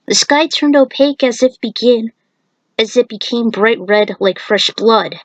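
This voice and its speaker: A woman narrates a written story in a mid-pitched voice. The sound is dry, with very little room around it, and she occasionally trips over a word as she reads. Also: a little slow, dry recording, dull audio. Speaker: narrator reading own fan fiction.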